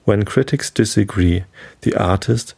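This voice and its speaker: A low, gravelly male voice with a German accent reads aloud for listeners who want to fall asleep. His tone is mellow and dark, and his delivery is calm and unhurried, with occasional stumbles over words. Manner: slow, halting, calm reading with some slips. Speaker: podcast host reading aloud. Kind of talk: sleep podcast reading.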